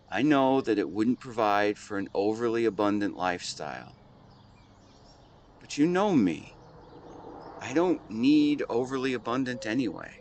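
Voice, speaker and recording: A man playing a weary, devoted husband in a scripted dramatic monologue, speaking tenderly and haltingly as if to his wife. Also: mid-range voice. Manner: halting, earnest, intimate delivery. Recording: clear background ambience with nature sounds.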